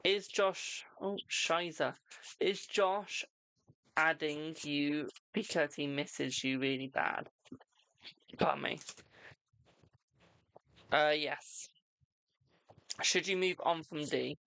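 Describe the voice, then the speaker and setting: A British tarot reader hosting a live stream, chatting casually and answering viewers' questions with a brisk "my darling". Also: medium pitch, vocal fry, sharp tone, clean tone, no room sound, low-quality recording. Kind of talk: casual live-stream chat and card readings.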